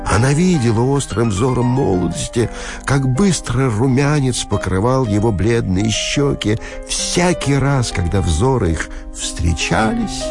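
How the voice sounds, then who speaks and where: A man narrating a literary audiobook expressively, with measured, dramatic phrasing and deliberate pauses. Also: medium pitch, big pitch swings, vocal fry, music in the background.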